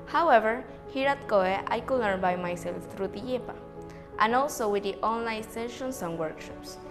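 A woman's voice: a little high, normal speed, over music. A young woman from Ecuador giving an earnest, recited student testimonial. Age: young adult. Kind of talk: prepared testimonial. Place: bright indoor studio backdrop.

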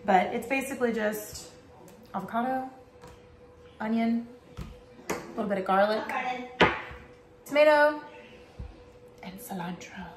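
A woman's voice: on the high side, unhurried, pauses often. A young woman in her home kitchen explaining a recipe step by step, upbeat and casual. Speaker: vlogger, trucker wife. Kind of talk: casual cooking vlog narration. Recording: echoey kitchen, faint hiss.

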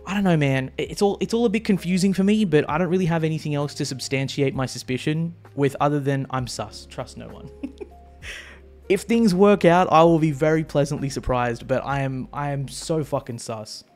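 A man in his thirties streaming a manga reaction, rambling and swearing casually into a close boom mic. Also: fairly quick, darkish tone, faint music behind. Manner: rambling, swearing, laughing often. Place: home streaming room.

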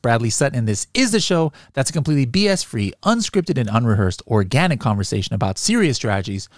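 A chatty, upbeat man hosts a casual interview podcast into a studio microphone. The sound is close and dry with no room echo. His pitch is middle for a man. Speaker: podcast host. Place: home studio with headset and boom mic. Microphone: studio microphone on boom arm, headphones.

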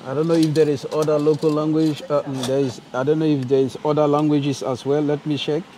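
A man's voice: on the high side, flat delivery, brisk, dark tone, slight background noise. A young man from Nigeria, a travel vlogger talking casually to an arm's-length camera at a train station. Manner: chatty, friendly, thinks aloud to camera. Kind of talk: casual travel vlog narration. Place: airport train station and train carriage, indoors. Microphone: action camera held at arm's length.

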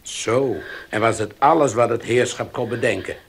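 An actor playing a calm, protective middle-aged father soothing his daughter in a 1970s radio play. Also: medium pitch, normal speed. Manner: soothing, gruff.

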